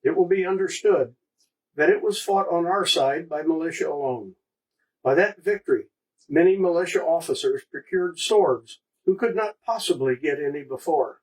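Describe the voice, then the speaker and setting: A man with a slightly rough, husky voice reads a historical account. He is recorded a little away from the microphone. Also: fairly high pitch, normal speed. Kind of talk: scripted reading of a first-hand account. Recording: voice distant from microphone.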